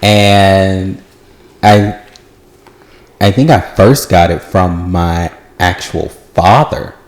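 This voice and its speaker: A man from Georgia, a guest on a podcast, speaking in casual chat in a deliberate, measured and careful way. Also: low-pitched, echoey room.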